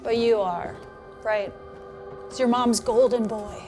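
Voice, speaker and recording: Actress as a high-voiced, sardonic younger sister. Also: female voice, steady tone, slow pace, music in the background. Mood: hurt, defensive. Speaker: actor. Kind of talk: naturalistic dramatic dialogue.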